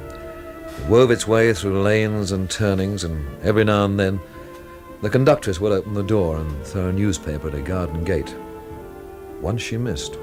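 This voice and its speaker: An actor playing a wry, world-weary investigator in a radio drama, with a dry, literary, laconic delivery. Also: male voice, deep voice, lightly acted. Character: wry, world-weary male investigator narrating his own inquiry. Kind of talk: radio drama narration and dialogue.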